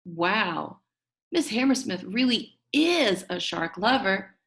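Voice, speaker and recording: A woman's voice: mid-range voice, big pitch swings, slow pace, vocal fry, fairly bright tone. A woman reads a children's story aloud, telling it expressively and acting out the characters' lines. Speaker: audiobook reader.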